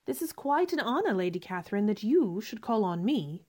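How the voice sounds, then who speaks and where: A woman's voice: mid-range voice, very animated, moderate pace, darkish tone, slightly rough. A woman playing a spirited, self-possessed young lady in a radio play, speaking politely but firmly and with a stubborn streak. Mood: coolly polite. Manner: polite but firm, pointed. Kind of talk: radio theater acting.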